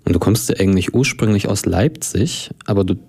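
A man hosts a local radio show from the studio, his voice fairly low and mellow. He asks questions in an easygoing, chatty way, often with fillers. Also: a little fast, breathy edge. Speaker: radio host. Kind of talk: radio interview.

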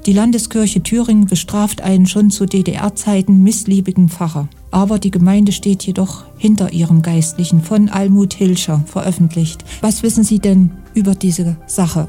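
A woman hosting a radio interview, her voice very dark and mellow. She puts her questions in a formal, measured way, with soft music faintly behind her. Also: medium pitch. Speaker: radio host.

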